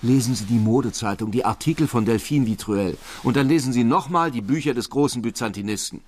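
An actor playing a brooding, lovesick police detective who narrates a radio crime drama. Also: male voice, medium pitch, fairly quick, a little mellow, lightly acted. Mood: grim. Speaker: lead actor and first-person narrator.

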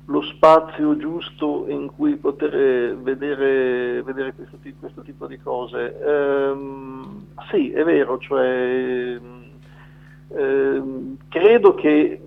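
A man's voice: mid-range voice, steady tone, slow pace, creaky. A middle-aged man giving an unscripted interview, hesitant and often circling back to correct himself as he explains. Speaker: film festival selection curator, interview guest. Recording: thin, narrow phone line.

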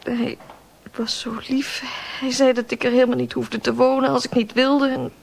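An actress playing a shaken young drama student in a radio play, confiding in a halting, subdued way. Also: female voice, high-pitched, level tone, vocal fry. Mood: hesitant, confessing. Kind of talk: naturalistic Dutch radio play acting.

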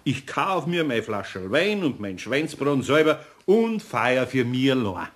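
A man playing a patient, gently amused older craftsman with a homely Bavarian accent and husky voice in a German radio play. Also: mid-range voice, very animated, measured pace. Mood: matter-of-fact. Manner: homely, indulgent, dialect-speaking.

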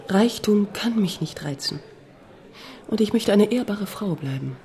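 An actress playing a proud, principled young countess, formal, frank and self-assured, in a German radio drama. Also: female voice, medium pitch, lively intonation, unhurried, dark tone. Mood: proud, resolute.

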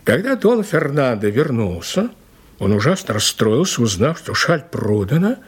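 Animated, husky police inspector telling a case. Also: male voice, medium pitch, normal speed. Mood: gravely narrating. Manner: animated, respectful, emphatic storyteller. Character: deferential police inspector eagerly recounting a mysterious case. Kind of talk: audio play acting, dramatic storytelling.

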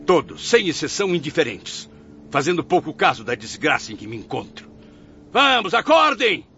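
A man's voice: a little high, big pitch swings, moderate pace, bright, forceful tone, soft background music, heavily acted. An actor playing the anguished ghost of a proud man who took his own life, pleading and crying out in a radio drama. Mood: desperate. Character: tormented male suicide's ghost, proud and desperate. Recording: muffled lo-fi track with noise.

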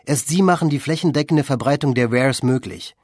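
A man delivering scripted, factual documentary narration in a measured manner. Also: medium pitch, no room sound, studio quality. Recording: music behind the voice.

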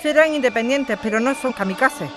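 An actress playing an indignant activist lawyer and daughter in an audio drama, challenging her opponent with forceful questions. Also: a little high, a little fast, over music, a crowd in the background, natural voice. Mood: indignant, defiant. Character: combative activist lawyer daughter defending evicted neighbours.

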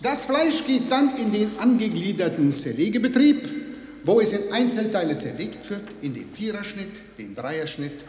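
In a cavernous, reverberant space, a slaughterhouse manager conducts a tour for a radio play. His highish voice swings widely in pitch. He is officious and pedantic, coaxing with a hidden threat underneath. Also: big pitch swings, normal speed, a little mellow. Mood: clinical, matter-of-fact. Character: evasive, menacing male slaughterhouse manager giving a tour. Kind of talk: radio drama acting. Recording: echoey hall with long reverb.

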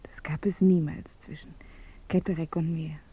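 A woman's voice: low-pitched, level tone, normal speed, mellow, husky. Radio drama, married woman with a secret love: intimate, wistful, teasing, often whispering. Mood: hushed, loving. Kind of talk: German radio play acting.

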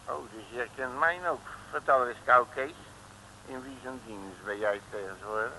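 An actor playing a wily, jocular detective in an old radio serial, with a folksy, teasing manner. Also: male voice, medium pitch, normal speed, pushed and bright. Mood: teasing, sly. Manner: folksy, teasing, colourful mock-oaths. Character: wily, jocular male detective, self-styled uncle. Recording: noisy old radio drama recording.

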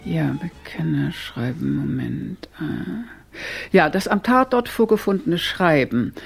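An actress playing a brisk, commanding gang leader, precise and matter-of-fact, in a radio crime drama. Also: female voice, deep voice, sing-song, gravelly, breathy edge, soft background music, exaggerated voice. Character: brisk, commanding woman leading an amateur gang.

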